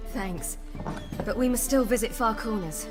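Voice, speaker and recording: An actress with a British accent playing a young adventurer in a fantasy game, speaking frankly with a quietly wry edge. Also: female voice, a little high, unhurried, over music, close to an everyday voice. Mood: grateful. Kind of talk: game cutscene dialogue.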